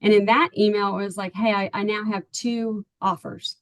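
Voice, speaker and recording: A woman's voice: mid-range voice, fairly even intonation, moderate pace, dry recording. A middle-aged woman with a Texan accent, telling her story as an interview guest in a chatty, earnest way, full of ums and "right?". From Texas, USA. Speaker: parent of a baseball player, client guest.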